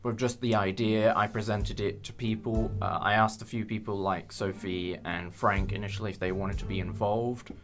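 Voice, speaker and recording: Young guy hosting a casual chat, lowish voice, kinda hesitant, faint guitar music behind. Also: steady tone, moderate pace, few pauses. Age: young adult. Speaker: host and audio drama producer. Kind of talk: casual behind-the-scenes discussion.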